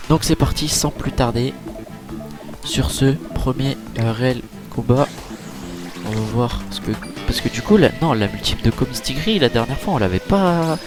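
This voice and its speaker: A man giving casual, chatty let's-play commentary over a game, full of fillers and quick reactions. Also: mid-range voice. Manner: chatty, filler-heavy, easily impressed. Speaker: gaming YouTuber. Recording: game music playing behind.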